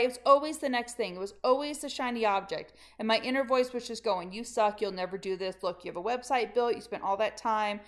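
A middle-aged woman coach telling her own story in a candid, emphatic podcast monologue, speaking straight to her listeners as friends. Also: a little high.

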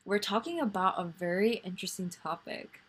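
A woman in her twenties hosting a podcast, chatting casually about faith in a soft, rambling way full of fillers. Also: medium pitch, lively intonation, a little fast. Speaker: podcast host.

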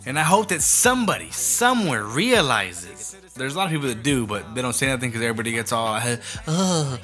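A man's voice: medium pitch, very animated, quick pace, sharp tone, soft background music. A man hosting a show, giving a blunt, profane, comedic rant in casual talk.

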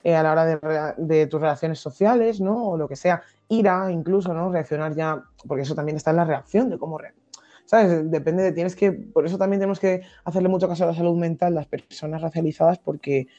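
A young woman from Madrid with a Madrid accent, a podcast guest explaining her ideas thoughtfully, with many pauses and restarts. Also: low-pitched, mellow, lo-fi sound. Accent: Madrid Spanish. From Madrid, Spain. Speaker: guest activist. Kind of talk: podcast interview.

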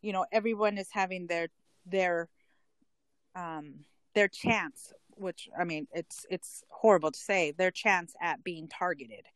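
Indignant woman, low and dry. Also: unhurried, dry recording. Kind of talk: casual conversation.

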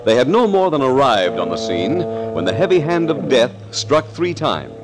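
A man delivers scripted narration in the manner of 1940s radio thrillers. His voice is medium in pitch and highly animated, with an ominous, declamatory delivery. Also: moderate pace, over music.

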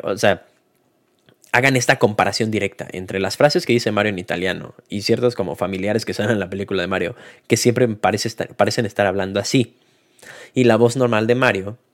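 Hombre, acento mexicano, reseña de cine casual, voz grave, muchas muletillas. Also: male voice, lowish voice. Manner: hesitant, many fillers and restarts. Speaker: film reviewer. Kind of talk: casual spoken movie review.

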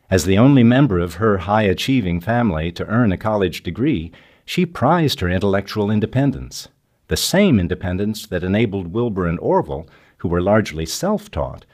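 A man's voice: fairly low pitch, a little mellow. A man reading scripted documentary narration. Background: music.

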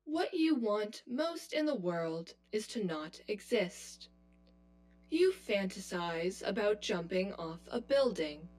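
Actress as a slow, taunting, superior inner double. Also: female voice, medium pitch, animated, a little mellow. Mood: coolly menacing. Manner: precise, clinical, condescending. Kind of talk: scripted audio drama acting.

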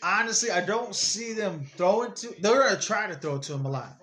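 A man co-hosting a casual podcast, joking around with quick comebacks and crude humor. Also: high-pitched, sharp tone. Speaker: podcast co-host.

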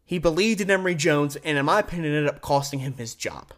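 A man's voice: fairly high pitch, lively intonation, quick pace, a bit bright. An American man hosting a sports show, delivering an opinionated solo commentary in an emphatic, conversational way. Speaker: sports podcast and radio host.

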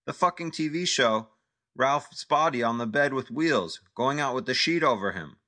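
A man's voice: medium pitch, expressive, moderate pace, a bit bright, voice pushed up, close to an everyday voice. A man voicing a menacing male crime boss who speaks clipped, broken English.

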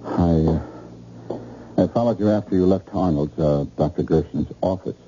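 Actor as a deep-voiced, haunted, obsessed man. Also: normal speed, warm tone, close to an everyday voice. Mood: hesitant, fixated. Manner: hesitant, stammering, intense. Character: obsessed, earnest, haunted man fixated on a dream. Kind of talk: old-time radio drama acting. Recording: muffled, noisy old radio recording.

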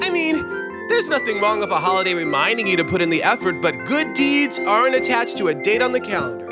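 A man's voice: high-pitched, very animated, pitched above the performer's own voice. An earnest, exasperated everyman, played by a man, pleading in a raised, swooping voice. He stammers and stresses his words in a comic audiobook, with music behind. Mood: reassuring. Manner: pleading, halting, stammering, emphatic.

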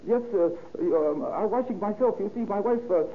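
High-pitched, nervous Japanese immigrant. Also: male voice, expressive, normal speed. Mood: flustered. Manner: hesitant, deferential, broken English. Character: polite, nervous Japanese immigrant man being questioned by police. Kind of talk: old-time radio acting. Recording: noisy, tinny old radio recording.

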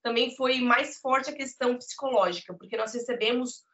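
A woman's voice: on the high side, moderate pace, a bit bright. A woman from Brazil, a podcast guest, telling her story in an earnest, emphatic way, often checking in with "né?". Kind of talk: conversational interview. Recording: muffled lo-fi audio.

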